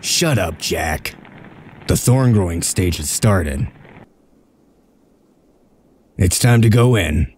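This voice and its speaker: A man's voice: low voice, lively intonation, takes it slowly, a little mellow, a little hiss or hum. A man voicing a stern, shrewd leader of a covert break-in in a comic dub, giving curt, authoritative orders. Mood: commanding.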